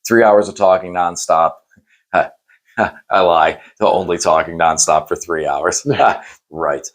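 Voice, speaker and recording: A man hosting a casual post-game chat, hoarse and losing his voice, talking in rambling, self-correcting runs and teasing his co-host. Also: sits low, steady tone, takes it slowly. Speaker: channel host.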